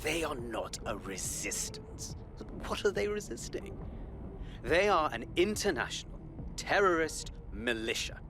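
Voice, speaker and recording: An actor playing a calm, menacing counterterrorism director in a video game, speaking in a measured, oratorical way. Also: male voice, a little high, noisy background. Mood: grave, stern.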